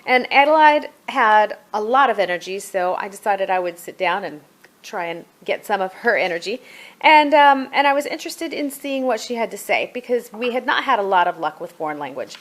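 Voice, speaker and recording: A woman's voice: mid-range voice, lively intonation, fairly quick. A woman giving a casual product review as a homeschooling mother, chatty and demonstrative, thinking aloud as she goes.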